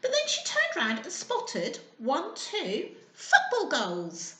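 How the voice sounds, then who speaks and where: A woman storyteller with a high, bright voice reads to small children. She is expressive and encouraging, asking them questions as she goes. She takes her time, in an echoey room. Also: slow. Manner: expressive, sing-song, encouraging. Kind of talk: animated children's storytime reading with questions to listeners.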